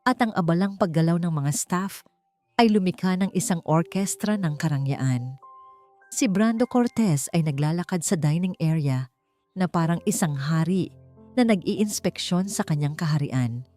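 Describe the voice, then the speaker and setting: A woman reading a scripted dramatic audiobook story in a measured manner, with dramatic pauses. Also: fairly low pitch, lively intonation, darkish tone. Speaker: audiobook narrator. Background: background music.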